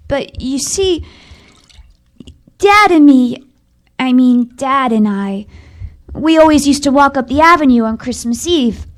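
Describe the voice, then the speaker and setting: A woman as an earnest, high-voiced young boy. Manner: hesitant, earnest, boyish. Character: earnest, plucky young street boy, polite and shy. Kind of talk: old-time radio drama acting.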